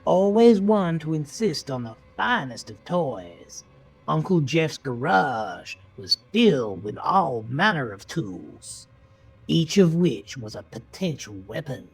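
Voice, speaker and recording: Male narrator, dramatic horror storytelling, slow pace, expressive intonation, suspenseful delivery. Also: fairly high pitch, breathy edge, low-quality recording. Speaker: audiobook-style narrator. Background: music under the voice.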